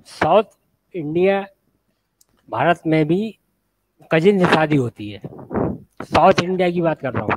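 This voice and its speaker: A man with a sore throat joins a group debate over a video call. His voice sits slightly high. He argues haltingly, repeating words and restarting his sentences. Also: dry recording, poor audio.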